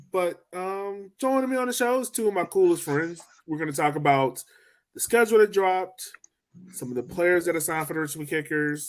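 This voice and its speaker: Upbeat man hosting a sports podcast. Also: fairly high pitch. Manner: upbeat, loose, laughs easily. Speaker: podcast host. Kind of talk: casual sports podcast chat.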